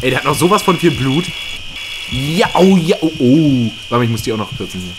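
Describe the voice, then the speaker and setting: A young man co-hosting a gaming video, bantering casually with excitable yelling and laughter. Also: medium pitch, a little fast, background noise. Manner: excitable, yelling and laughing, silly English asides. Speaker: gaming channel co-host. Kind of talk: casual let's-play banter. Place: small room at home, seen on webcam.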